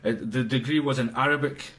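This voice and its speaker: Brief: male imam guest, halting delivery, average pitch, distant mic. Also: steady tone, fairly bright tone. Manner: hesitant, halting, many fillers. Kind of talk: podcast interview guest.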